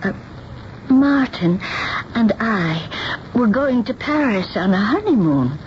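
A shy, wistful seamstress who lives in daydreams, in a higher-pitched woman's voice. She speaks slowly, stammering and hesitating like a child unsure of herself. It is radio drama on a dull, noisy recording. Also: very animated. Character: timid, dreamy reclusive old-maid seamstress lost in fantasy.

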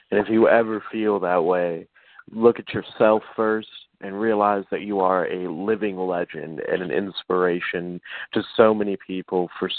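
A man's voice: low voice, dry recording. A man hosting a radio show, speaking supportively in a sincere, slightly formal way. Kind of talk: supportive, measured radio talk. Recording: narrow phone-like radio line.

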